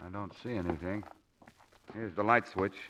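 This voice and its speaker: An actor playing a brusque, sarcastic police commissioner in 1940s radio acting style. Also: male voice, low-pitched, normal speed, pushed and bright. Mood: businesslike. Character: gruff, sarcastic middle-aged police commissioner. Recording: muffled, noisy, clicking 1940s radio recording.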